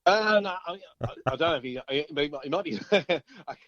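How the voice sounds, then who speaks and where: A man chatting informally as an interviewed musician, halting and circling back over his phrases. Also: fairly high pitch, expressive, a bit bright, no room sound, muffled recording. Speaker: band singer-guitarist being interviewed. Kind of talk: casual interview chat.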